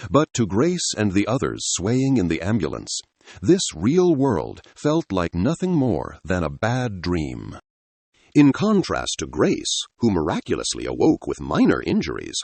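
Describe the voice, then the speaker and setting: Deep husky male story narrator, very animated. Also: normal speed, no room sound. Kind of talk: dramatic scripted story narration.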